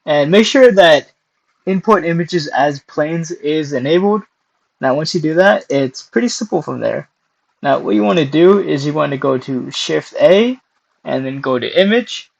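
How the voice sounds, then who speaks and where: A young man hosting a casual screen-recorded software tutorial, hoarse and losing his voice, clearing his throat often between steps. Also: on the high side, expressive, a little slow, low-quality recording. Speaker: tutorial host.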